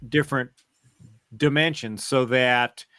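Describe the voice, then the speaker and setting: A man co-hosting a podcast, explaining things step by step in a halting, casual way. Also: mid-range voice, steady tone, bright, forceful tone, clean tone, dry recording, studio quality. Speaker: podcast co-host. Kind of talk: casual fan discussion, recounting and theorizing.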